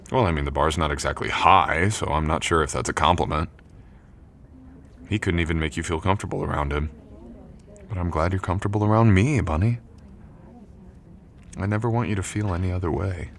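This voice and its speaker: A very low-voiced man acting as a college jock, young, protective and tender. He talks in an intimate boyfriend ASMR style, softly teasing and reassuring, with noticeable noise behind the voice. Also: brisk, pauses often. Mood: teasing, affectionate. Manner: soft, teasing, gently reassuring. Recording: clearly audible background noise.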